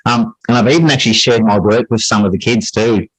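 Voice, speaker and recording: A middle-aged man speaks as an interview guest in a fairly low voice. He explains things reflectively and conversationally, restating phrases to stress them. The sound is very dry, with hardly any room on it. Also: fairly quick, husky, no room sound, low-quality recording. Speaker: interview guest, high school English teacher.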